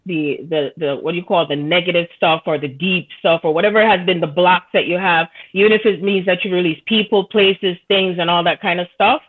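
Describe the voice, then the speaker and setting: A woman's voice: on the low side, fairly even intonation, brisk, few pauses. A woman from Jamaica, a guest on a spiritual talk show, speaking earnestly and rambling with many fillers and restarts. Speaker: podcast guest, spiritual reader. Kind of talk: casual spiritual conversation. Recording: tinny phone-like line.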